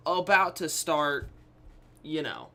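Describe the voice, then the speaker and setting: A young adult man telling a personal story casually to his viewers, acting out quotes, pausing for effect and often checking that listeners follow. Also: fairly high pitch, big pitch swings, bright, forceful tone. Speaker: YouTube storyteller.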